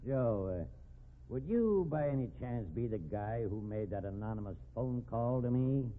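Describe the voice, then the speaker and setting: A man's voice: medium pitch, lively intonation, normal speed, close to an everyday voice. Folksy cop inspector male actor, wry and easygoing, old radio show w/ faint noise. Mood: wry, amused. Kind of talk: 1950s radio drama acting. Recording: narrow, faintly noisy old radio recording.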